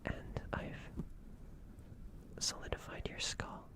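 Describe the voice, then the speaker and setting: A streamer voicing a gentle, comforting alien in a whispered ASMR roleplay, soothing and a little hesitant and naive. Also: takes it slowly, natural voice. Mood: gentle, reassuring. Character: gentle, comforting green alien caring for a crashed human.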